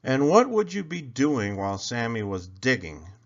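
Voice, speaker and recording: A man voicing a calm, encouraging father in a storybook, gently amused, asking questions and reassuring. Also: medium pitch, moderate pace, dull audio. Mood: amused, curious.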